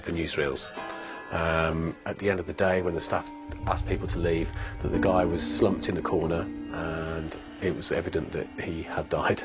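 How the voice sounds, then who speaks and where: A man answers interview questions informally. His voice is deep and his manner measured, slightly hesitant. Music plays clearly behind him.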